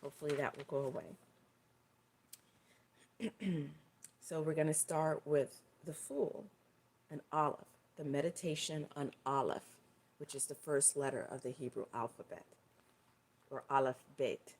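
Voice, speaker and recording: A middle-aged woman with a low, husky-dry voice talks on her live stream. She has a touch of hoarseness, and she rambles easily, circling back and fixing her words as she goes. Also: measured pace, long pauses, a little mellow. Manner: loose and rambling, with fillers and self-corrections. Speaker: live stream host and tarot reader. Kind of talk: casual spoken livestream talk.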